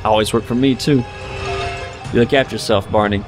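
An actor playing a fatherly man in a video game, speaking softly and reassuringly to a sick child, with a soft storytelling lilt. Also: on the low side, fairly even intonation, a little fast, lightly acted. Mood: coaxing. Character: gentle, fatherly man soothing a sick boy. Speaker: game character, kindly stranger. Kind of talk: video game cutscene acting. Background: music.

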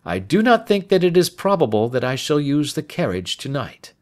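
A man voicing a sharp, confident Victorian detective in an audiobook, speaking in an assured, articulate and emphatic way. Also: fairly high pitch, big pitch swings, normal speed, voice pushed up. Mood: breezy, assured.

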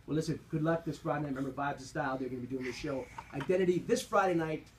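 A man's voice: fairly high pitch, racing, slight background noise. A man hosting a live radio interview in a studio, upbeat and chatty, often repeating himself. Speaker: radio host.

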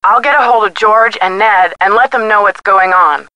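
A woman voicing a perky, loyal and expressive young woman, supportive and animated, in a video game. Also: medium pitch, moderate pace, dry recording, dull audio, lightly acted. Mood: encouraging, teasing. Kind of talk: video game voice acting, phone dialogue.

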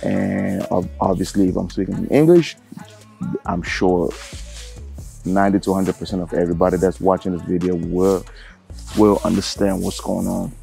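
Deep gravelly man vlogging casually. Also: moderate pace, soft background music. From Cameroon. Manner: relaxed, rambling, lots of fillers. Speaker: travel vlogger. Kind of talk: casual vlog talk to camera.

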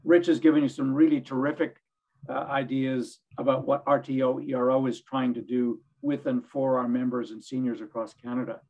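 An older man with a hoarse voice, speaking earnestly as a panelist on a webinar from his home study over a video call. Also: mid-range voice, little pitch movement, brisk, narrow, tinny audio. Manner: earnest, rambling with restarts. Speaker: executive director, panelist.

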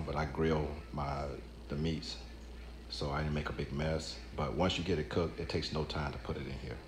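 A man in his fifties giving a relaxed, conversational how-to talk straight to the camera in his home kitchen. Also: extremely low pitch. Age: 50s. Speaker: vlogger. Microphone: camera mic from a few feet away. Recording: echoey kitchen with background noise.

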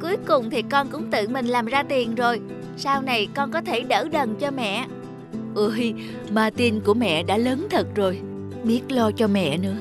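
A woman playing a caring, affectionate mother of a young boy in a cartoon, gently concerned. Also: fairly high pitch, moderate pace, over music, lightly acted. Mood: proud.

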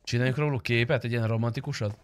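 A man's voice: mid-range voice, fairly even intonation, slight background noise. A young man who streams roleplay gaming, chatting casually with blunt, sweary banter. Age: young adult. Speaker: streamer playing online roleplay game.

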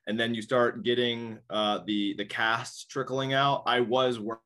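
A man's voice: medium pitch, little pitch movement, measured pace, pushed and bright. A man in his thirties, a guest on a video call, talking casually in a rambling way with many restarts. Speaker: podcast guest. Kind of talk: casual interview chat. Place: small indoor room.

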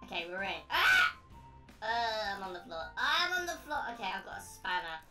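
A woman hosting a gaming video with excitable commentary and frequent exclamations. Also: medium pitch, normal speed, over loud music. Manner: excitable, prone to shrieking exclamations. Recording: roomy echo, distant mic.

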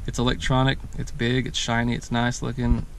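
A man's voice: mid-range voice, monotone, a little fast. An American man in his thirties chatting casually and laid-back, rambling as he explains things like a vlogger. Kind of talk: casual chat, explaining a DIY install. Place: in and beside a parked pickup truck. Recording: clear vehicle and outdoor ambient noise.

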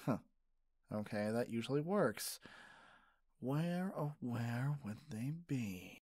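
Man playing a doting male caregiver, ASMR roleplay, soothing, soft-spoken, unhurried, dry close sound. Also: mid-range voice, animated, no room sound. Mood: playful searching. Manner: soft, soothing, playful coaxing. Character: gentle, doting male caregiver comforting a partner.

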